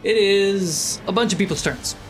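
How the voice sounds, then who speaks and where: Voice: mid-range voice, normal speed, poor audio. A game master narrating a tabletop role-playing game to the players. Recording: loud background music under the voice. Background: cinematic musical score.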